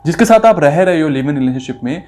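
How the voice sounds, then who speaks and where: A man's voice: a little high, animated, quick pace, a little mellow, faint music behind. A man giving opinionated commentary in an indignant, accusatory manner, asking rhetorical questions and mixing in English phrases. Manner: mixes English phrases into speech. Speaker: video commentator.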